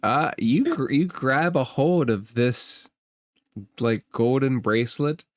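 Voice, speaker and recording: Man, game master, mid-pitched, informal halting narration, conversational, dry recording. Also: animated, no room sound.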